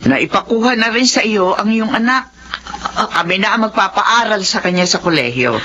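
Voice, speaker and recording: A woman's voice: medium pitch, animated, moderate pace. An actress playing a kind, reassuring wealthy lady of the house in a radio-style drama. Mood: kindly. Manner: warm, reassuring. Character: kind wealthy woman employer. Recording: muffled lo-fi with light hiss.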